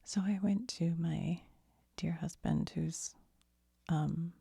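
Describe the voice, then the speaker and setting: A woman host talking in a breathy, whispery, husky ASMR style, casually rambling through an explanation in a halting, self-deprecating way. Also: fairly low pitch, steady tone, dark tone, no room sound, studio quality.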